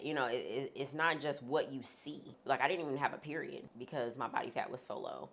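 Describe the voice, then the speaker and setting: Her voice sits extremely low and has a gravelly rasp to it. She is a woman being interviewed and talks frankly and conversationally, with a few humorous asides. Her husky tone stays steady throughout. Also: slight background noise. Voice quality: deep, husky voice. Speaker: interview guest. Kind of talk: candid sit-down conversation.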